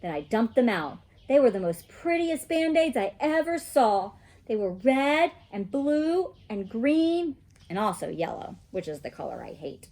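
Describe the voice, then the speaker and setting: A woman reading a children's storybook as an excitable, mischievous little girl who chats emphatically about her own adventures. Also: a little high, unhurried, heavily acted. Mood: excited. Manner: childlike, emphatic, chatty storytelling with kid grammar. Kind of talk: children's storybook read-aloud.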